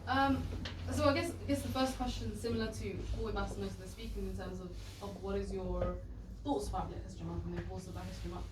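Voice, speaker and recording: A woman's voice: mid-range voice, fairly even intonation, quick pace. A woman moderator formally introducing a guest speaker at a live panel, with hesitant "um"s between phrases. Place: event hall with audience. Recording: echoey hall, distant mic, loud background noise.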